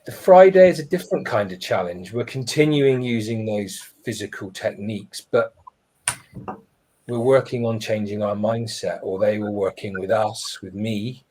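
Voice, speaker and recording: Husky-voiced man, reflective. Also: on the low side, darkish tone. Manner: reflective, earnest, with pauses and restarts. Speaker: webinar panelist. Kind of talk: personal storytelling on a live webinar.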